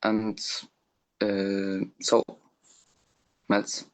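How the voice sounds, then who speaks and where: A teenage boy from Turkey, a non-native English speaker, presenting a school talk over an online call, halting and filler-heavy. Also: on the low side, monotone, low-quality recording. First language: Turkish. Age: teens. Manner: halting, reads points with fillers. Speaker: student speaker. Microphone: remote call microphone.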